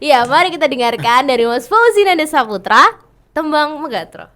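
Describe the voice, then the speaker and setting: High-voiced chatty young woman host. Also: expressive, moderate pace, a bit bright. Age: young adult. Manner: chatty, upbeat, eager prompting. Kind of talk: casual radio talk show.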